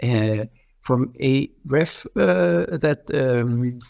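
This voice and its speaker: A man hosting an interview, speaking haltingly with many pauses and fillers as he searches for words. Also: mid-range voice, expressive, vocal fry, darkish tone, dry recording, clean studio sound. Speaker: interview host. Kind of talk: casual interview talk.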